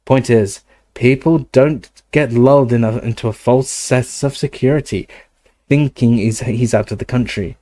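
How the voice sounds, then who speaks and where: A man's voice: mid-range voice, normal speed, mellow, lightly acted. Brief: joking young radio guest, wry and playful, a man reading the part. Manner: wry and jokey.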